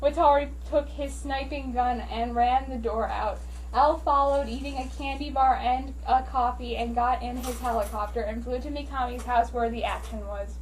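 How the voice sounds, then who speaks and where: Woman doing a dramatic story reading, pretty high voice, bit of noise behind her. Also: steady tone, normal speed, recorded from a distance, poor audio. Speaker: amateur reader of fan fiction.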